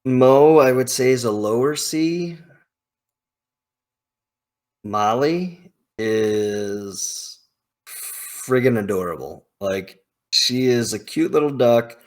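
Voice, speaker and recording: A man's voice: medium pitch, lively intonation, slow pace, pauses often. A man hosting a video, thinking aloud in casual, opinionated commentary with emphatic, hyperbolic slang. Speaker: video host ranking game characters.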